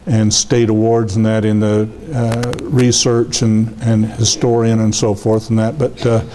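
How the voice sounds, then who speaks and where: A man's voice: lowish voice, level tone, measured pace, poor audio. An older man giving a loose, rambling library talk to a seated audience, full of fillers and restarts, on a lapel mic as he walks around. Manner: says he has a loud voice. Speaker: guest speaker. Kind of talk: informal public lecture. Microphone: lapel mic, walks around the room.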